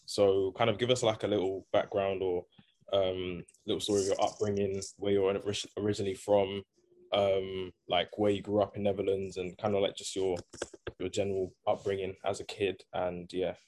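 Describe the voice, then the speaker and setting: Young man with a low voice. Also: little pitch movement, moderate pace, a little mellow, a little hiss or hum. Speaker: interviewer. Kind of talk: casual interview chat.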